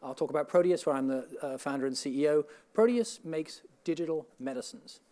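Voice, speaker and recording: A man with a Texan accent from Texas, introducing himself as a panelist at a conference in a measured, pitch-like way. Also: animated, dark tone. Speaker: panelist, health tech CEO. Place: conference stage.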